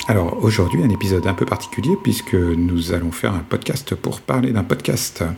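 A man hosting a podcast and introducing his guests in a measured way. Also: low-pitched, lively intonation, creaky, darkish tone, faint music behind. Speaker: podcast host.

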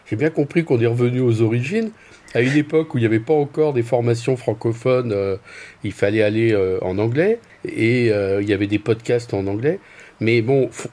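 A man's voice: mid-range voice, mellow. A man who contributes to a podcast, asking questions and stammering over repeated words. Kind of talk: casual podcast discussion.

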